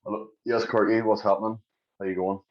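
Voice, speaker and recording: A fairly low male voice with a Northern Irish accent, recorded with a slightly muffled, dull sound. He co-hosts a podcast in casual chat, leaning on words and repeating them for emphasis. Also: flat delivery, brisk. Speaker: podcast co-host.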